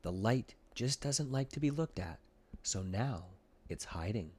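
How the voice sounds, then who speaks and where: A man voicing a kindly, reassuring and slightly mysterious ice cream lady in a children's audiobook, gentle and unhurried. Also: on the low side, expressive, slightly rough.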